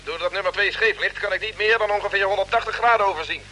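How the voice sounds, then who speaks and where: A man's voice: high-pitched, fast talker, bright, forceful tone. A male actor playing a dutiful spaceship crewman reporting to his captain in a radio play. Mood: tense reporting. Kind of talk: mid-century radio play acting. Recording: old radio recording with faint hum.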